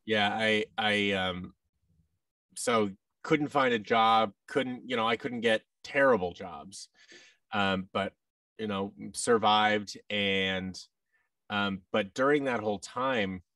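A man's voice: on the low side, slow pace, sharp tone, no room sound, distant mic. An American man in his thirties chatting casually as an interview guest, in a rambling, self-deprecating way. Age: 30s. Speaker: guest, actor and audio drama producer. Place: large indoor office room. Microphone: boom-arm microphone, headset, video call.

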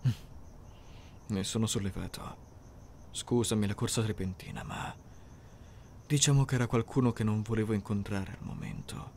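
Man playing low-voiced, courteous young anime heartthrob. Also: normal speed, pauses often, darkish tone, a little hiss or hum, close to an everyday voice. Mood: relieved, concerned. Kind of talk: Italian ASMR roleplay acting to the listener.

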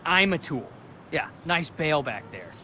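A man's voice: fairly high pitch, sing-song, a little slow, sharp tone, a little hiss or hum, exaggerated voice. An actor playing an eager, easygoing young male skater in a video game, casual and slangy. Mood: defiant. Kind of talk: video game cutscene acting.

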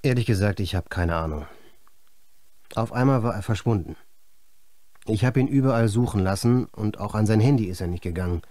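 A man acts a subdued, puzzled film assistant being interviewed in a radio drama. His voice is fairly low and soft-toned, earnest and hesitant. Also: brisk, long pauses, dry recording, clean studio sound, close to an everyday voice. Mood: baffled. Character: director's male assistant, baffled, subdued, earnest. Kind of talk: radio play acting as interviewee.

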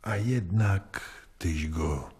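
A defiant Roman official in a radio play. His low voice is formal and firm. He makes each point with legalistic scorn. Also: lively intonation, extremely slow, a little mellow, heavily acted. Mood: scornful objection. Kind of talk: audio drama dialogue.

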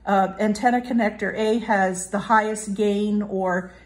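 Female reviewer, mid-pitched, casual demonstration style, measured and explanatory. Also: fairly even intonation. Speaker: product reviewer. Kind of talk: casual hands-on product demonstration.

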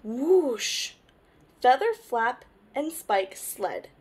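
A young woman hosting storytime, reading a children's picture book aloud with animated, deliberate phrasing. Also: high voice, a little hiss or hum. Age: young adult. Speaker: storytime host.

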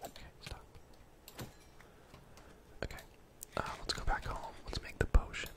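Casting: young male gaming streamer, whispered ASMR, casual and rambling, close-miked. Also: a little slow. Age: young adult. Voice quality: soft, breathy whisper. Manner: casual, rambling, thinking aloud. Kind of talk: whispered ASMR gameplay commentary.

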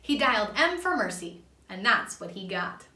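A woman's voice: mid-range voice, very animated, normal speed, pushed and bright, echoey room, far from the mic. A woman voicing an earnest, forgiving male cucumber detective from a children's storybook. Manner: earnest, explaining.

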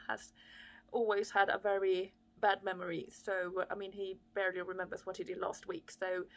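A woman in her thirties from Chile, talking about her life in a reflective way, with many fillers, in a living room. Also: mid-range voice. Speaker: vlogger. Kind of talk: casual personal talk to camera. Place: living room with fireplace, indoors. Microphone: camera on a desk at close range.

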